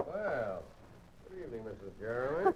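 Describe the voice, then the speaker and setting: An actor playing a shrewd middle-aged police lieutenant who talks slowly and slyly, pausing with hesitant "uh"s, in old-time radio drama style. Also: male voice, medium pitch, wildly swooping pitch, dull audio. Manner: slow, sly, with hesitant "uh" pauses. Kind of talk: 1940s radio acting. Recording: old radio recording with faint hiss.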